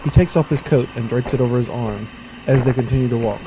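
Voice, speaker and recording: A man narrating a scripted audio drama in a deliberate, dramatic manner. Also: medium pitch, gravelly, noisy background. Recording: voice under loud music.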